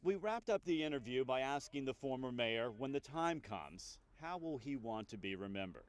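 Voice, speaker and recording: A man's voice: mid-range voice, lively intonation, moderate pace, clear, resonant tone. A man working as a TV news anchor and reporter, delivering scripted news narration.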